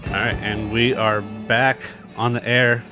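A podcast host with a stuffed-up, nasal voice rambles through plugs and chat, filling gaps with ums and uhs and a few swear words. His pitch sits in an ordinary middle range for a man. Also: little pitch movement, unhurried, loud music behind the voice. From San Diego area. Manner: loose, rambling, with many fillers and swearing. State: congested, nasal.

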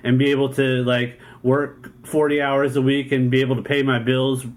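A man's voice: mid-range voice, level tone, normal speed. A man interviewing an artist, reflecting in a casual way and using "like" a lot. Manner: reflective, filler-heavy, lots of "like".

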